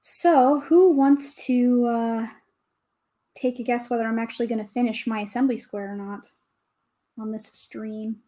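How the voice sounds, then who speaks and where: A woman with a calm, soothing voice, chatting casually with viewers as a livestream host, greeting and thanking them as she goes. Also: fairly high pitch, unhurried. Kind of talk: casual livestream chat while building.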